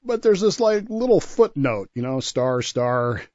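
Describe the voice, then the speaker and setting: A man's voice: medium pitch, big pitch swings, a little slow, a little mellow, no echo. A man with a mid-range voice tells history as a radio commentator. He talks conversationally, stopping for emphasis and slipping in asides. The sound is dry, with very little room to it. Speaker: regular history commentator on a radio talk show.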